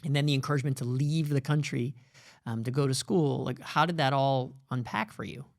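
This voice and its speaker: A man's voice: mid-range voice, fairly quick, gravelly. A man hosting an interview, asking curious, rambling questions in a casual way. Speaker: host. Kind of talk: conversational interview.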